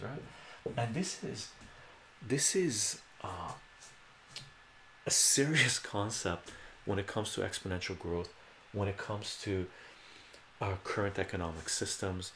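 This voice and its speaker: A man's voice: low-pitched, fairly bright tone, roomy sound, a little hiss or hum. A middle-aged man from Iran giving a casual live math lesson at a whiteboard in a small home room, chatty and repeating himself, tagging his points with "right?". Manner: chatty, repeats phrases and tags "right?" often. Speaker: math streamer.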